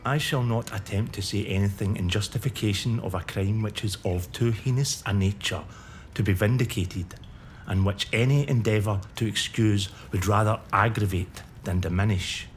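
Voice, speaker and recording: A man's voice: fairly low pitch, moderate pace, faint music behind, natural voice. A man playing a remorseful condemned earl. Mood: solemn pleading. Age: 40s. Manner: earnest, formal courtroom pleading. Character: condemned Scottish earl, middle-aged, remorseful and pleading. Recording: long hall reverb, faint background hiss.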